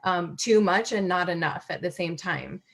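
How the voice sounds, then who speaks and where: A woman with a fairly low voice hosts a live interview. She speaks in a relaxed, conversational way, dropping in "um" and "like" as she talks. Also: moderate pace, gravelly. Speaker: host. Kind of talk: casual interview chat.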